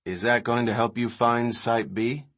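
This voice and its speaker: A man's voice: medium pitch, fairly even intonation, measured pace, a bit bright, lightly acted. An earnest young boy in an audiobook novel, voiced by a grown man. He explains things in a matter-of-fact way, without hurry.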